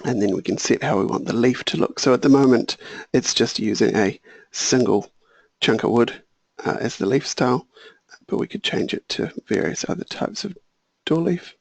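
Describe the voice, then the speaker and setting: A man walking through a software tutorial, thinking aloud and correcting himself, a little hoarse. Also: medium pitch, normal speed, no room sound. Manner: relaxed, thinking aloud, small self-corrections. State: slightly hoarse, clearing throat. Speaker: software trainer. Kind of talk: screen-share software tutorial.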